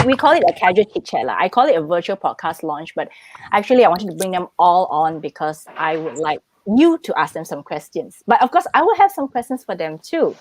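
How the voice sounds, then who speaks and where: A woman's voice: lowish voice, poor audio. Give me an upbeat woman hosting a live video chat, with a little hiss behind her. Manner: chatty, upbeat, drops local particles. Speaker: podcast host. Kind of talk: casual live-stream chat. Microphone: video call.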